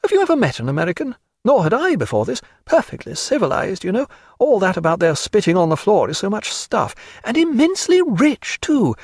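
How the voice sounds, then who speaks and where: A man's voice: sits high, wildly swooping pitch, brisk, slightly rough, no room sound, studio quality, pitched above the performer's own voice, exaggerated voice. A man voicing an effusive, vivacious society woman in an audiobook, chatty and fretful. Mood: chatty, vexed. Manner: gushing, chatty, breezy. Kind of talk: audiobook character voice.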